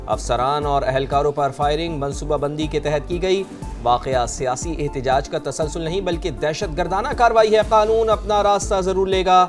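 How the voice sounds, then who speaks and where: A Pakistani-accented man reads the news in a scripted headline style. His voice is on the high side for a man, with music clearly running behind it. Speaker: news anchor reading headlines. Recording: loud music bed under voice.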